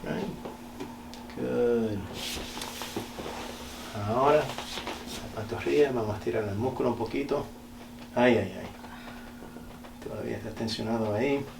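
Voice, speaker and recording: Male chiropractor, gravelly and unhurried, gentle short instructions, echoey noisy room. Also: mid-range voice. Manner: gentle, polite, short reassuring phrases. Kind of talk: calm hands-on treatment instructions to a patient. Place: echoey indoor treatment room. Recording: echoey room with loud background noise.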